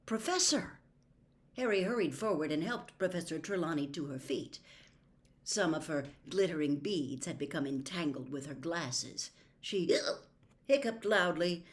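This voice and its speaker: A woman reading a novel aloud as an expressive storybook narrator. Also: sits low, measured pace. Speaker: audiobook reader.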